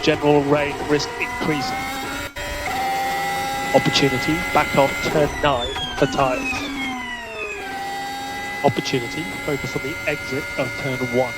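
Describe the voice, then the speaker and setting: A man's voice: a little high, huge pitch swings, unhurried, long pauses. A man working as a race engineer, giving terse, clipped instructions and updates over team radio, often repeating codes. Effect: team radio transmission. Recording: loud engine noise, team radio link.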